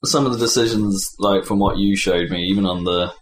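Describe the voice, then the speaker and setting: A man with a lowish voice chats casually as a gaming podcast co-host. His delivery is conversational and a bit tentative, recorded in a very echoey room. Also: a little fast, slight background noise. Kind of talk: casual chat about video games.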